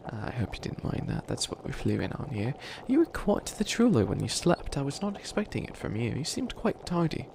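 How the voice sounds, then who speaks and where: Bumbling evil bunny witch , male performer, comedic ASMR: theatrical, rambling schemer, gravelly creak, slight noise behind. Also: medium pitch, sing-song, fairly quick, hardly any pauses, darkish tone. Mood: eager, inviting, then flustered delight. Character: bumbling, dramatic bunny witch who thinks himself evil.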